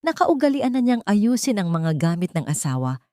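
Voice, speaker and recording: A woman's voice: medium pitch, big pitch swings, moderate pace, warm tone, no echo, clean studio sound. A woman reading a scripted, dramatic love story as narrator.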